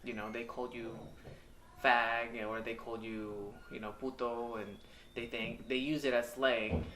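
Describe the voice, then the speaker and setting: A man in his thirties from Mexico, telling his life story in a candid, hesitant way with many pauses and ums. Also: mid-range voice, level tone, sharp tone, slight background noise. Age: 30s. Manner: hesitant, halting, with frequent ums and uhs. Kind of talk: candid personal testimonial. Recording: echoey room, mic at a distance.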